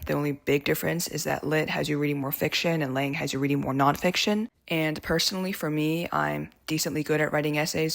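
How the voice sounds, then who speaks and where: A woman's voice: sits low, gravelly. A young adult woman with an American accent, talking casually and emphatically as she explains things in a vlog. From United States. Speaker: student video creator.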